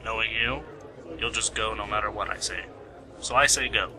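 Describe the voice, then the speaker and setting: Male actor as police colleague in a radio play: lowish, matter-of-fact, a touch teasing, noisy home recording. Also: moderate pace, lightly acted. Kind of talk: amateur radio play acting.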